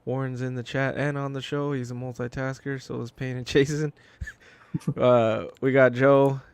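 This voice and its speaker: Male co-host, smooth and clear voice, dry close sound, joking and loose, on a video call. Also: mid-range voice, flat delivery, moderate pace, dry recording. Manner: loose, joking, swears casually. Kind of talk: casual livestream chat.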